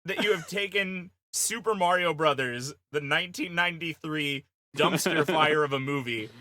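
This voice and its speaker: Bright, punchy, higher-voiced man. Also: normal speed, dry recording. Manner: blunt, profane, emphatic. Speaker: podcast panelist pitching a film idea. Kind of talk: casual comedic banter.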